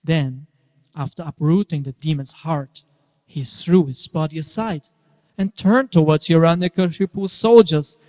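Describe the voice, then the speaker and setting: A man narrating a dramatic scripture reading in a theatrical, emphatic way, swelling and hushing for effect. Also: a little high, a little slow. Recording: long reverberant hall echo.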